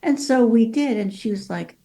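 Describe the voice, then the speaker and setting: A woman's voice: fairly high pitch, lively intonation, moderate pace, warm tone. A woman in her fifties who grew up in Mexico City, speaking with a Californian accent, chatting as a guest author in an interview with lots of fillers. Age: 50s. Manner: chatty, many fillers, voices her own past thoughts. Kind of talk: casual interview talk.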